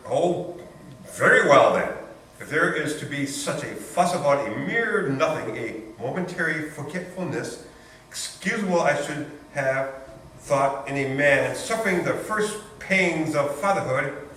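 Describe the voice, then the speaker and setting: A man's voice: a little high, expressive, unhurried, a bit bright. A man playing a melodramatic, self-pitying nephew with a theatrical, sarcastic manner in a staged comedy reading. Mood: grudging, sarcastic. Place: large room or hall, distant microphone. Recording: very echoey room from far off, faint noise.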